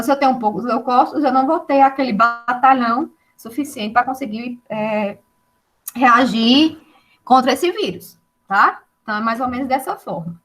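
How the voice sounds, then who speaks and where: Patient teacher with a high-pitched voice. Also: female voice, fairly bright tone, low-quality recording. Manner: explains patiently with many checking tags like "né" and "tá". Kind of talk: online class lecture.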